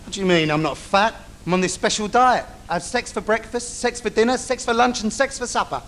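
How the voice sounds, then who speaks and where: A man's voice: high-pitched, fairly quick, a bit bright. A male actor playing a cheeky, camp, bitchy comic sidekick in an adult stage pantomime, calling out to the audience. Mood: bitchy banter. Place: theatre stage. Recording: noisy live theatre stage recording.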